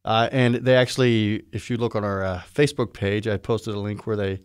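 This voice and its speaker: A man's voice: fairly low pitch, steady tone, brisk, smooth, no echo, clean studio sound, distant mic. A man hosting a podcast in casual chat, joking and telling a story in a chatty way. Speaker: podcast host.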